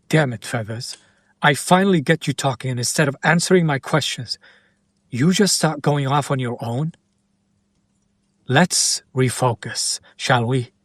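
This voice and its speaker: A man playing a sardonic, teasing demon in an intimate roleplay audio drama, speaking deliberately and directly to the listener. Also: mid-range voice, no room sound, studio quality. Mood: wry, exasperated. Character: male demon buyer, sardonic, teasing, curious about his captive.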